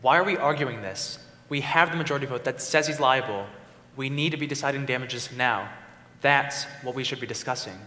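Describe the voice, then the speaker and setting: A tactless, blunt male juror with a fond streak, played by a stage actor, has a forceful, sharp voice. It carries a long hall echo. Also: medium pitch, a little fast, close to an everyday voice. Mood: impatient. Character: gruffly fond, tactless uncle on a jury. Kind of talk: amateur stage play acting. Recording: echoey hall, long reverb.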